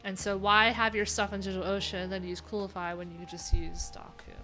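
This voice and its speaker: A woman's voice: mid-range voice, level tone, brisk, pushed and bright, soft background music. A woman live streaming, chatting informally and thinking aloud in a rambling, unfiltered way. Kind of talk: casual live tech stream commentary.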